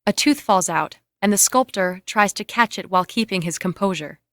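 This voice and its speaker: A woman reads screenplay scene directions as a narrator, with a mid-range pitch. Her voice is recorded dry, with hardly any room around it. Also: animated, moderate pace, fairly bright tone, dry recording. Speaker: reader of stage directions.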